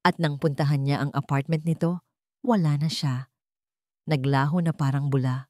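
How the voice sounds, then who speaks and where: Female story narrator, Filipino accent, low register, dry recording, scripted dramatic delivery. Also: fairly even intonation, normal speed, warm tone, no room sound.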